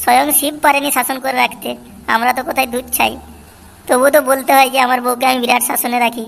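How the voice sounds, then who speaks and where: A man playing a henpecked, cowardly cartoon husband who whines and pleads, with a West Bengal accent and a pitched-up voice effect. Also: little pitch movement, fast, vocal fry, fairly bright tone, full character voice. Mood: embarrassed, complaining. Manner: pleading, lamenting. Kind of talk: amateur comic cartoon dubbing.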